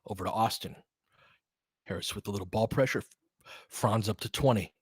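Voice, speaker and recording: A man's voice: lowish voice, normal speed, breathy edge, no room sound, studio quality. A man calling a basketball game live in clipped phrases, with casual, fan-like asides between the plays. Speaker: basketball play-by-play commentator.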